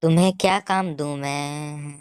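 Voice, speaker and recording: A woman's voice: sits low, fairly even intonation, unhurried, darkish tone, smooth. A woman voicing a rich, scheming male village landlord in a cartoon story, fretful and bossy. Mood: flustered. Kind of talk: cartoon story character acting.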